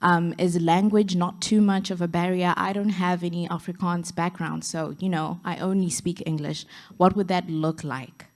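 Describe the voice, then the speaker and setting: A woman's voice: fairly low pitch, fairly even intonation, moderate pace, hardly any pauses, a little mellow. Young woman asking an earnest question. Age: young adult. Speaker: audience member asking a question. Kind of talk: audience question.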